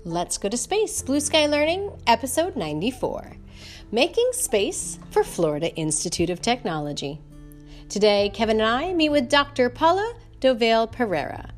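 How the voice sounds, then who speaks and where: A woman's voice: fairly high pitch, big pitch swings, soft background music. A woman hosting a podcast, reading a scripted introduction and then welcoming a guest. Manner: reads announcements and spells out web addresses slowly. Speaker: podcast host.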